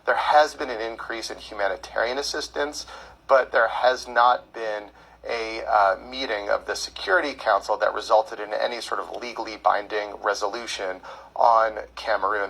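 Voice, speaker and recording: A man who hosts a podcast, guesting on a call-in show and answering callers in a measured, explanatory way with frequent fillers. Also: fairly low pitch, vocal fry, a bit bright, husky, low-quality recording.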